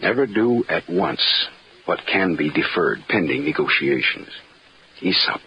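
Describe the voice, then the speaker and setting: A man's voice: low-pitched, expressive, measured pace. A man playing a cynical, streetwise private investigator in an old radio detective drama, with dry, sardonic wisecracks. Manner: sardonic, dry wisecracks and hard-boiled slang. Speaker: lead detective and narrator. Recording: muffled, noisy old radio recording.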